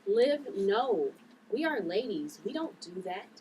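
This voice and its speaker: A woman's voice: medium pitch, very animated, unhurried, warm tone. A woman at a table read playing an earnest, principled church-goer who acts as a vigilante. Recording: distant mic, noisy room.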